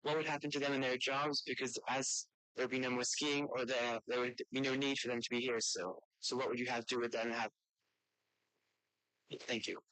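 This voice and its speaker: A man with a crisp, bright voice questions as a delegate in a formal youth debate. His intonation is quite even. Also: medium pitch, brisk, no room sound, poor audio.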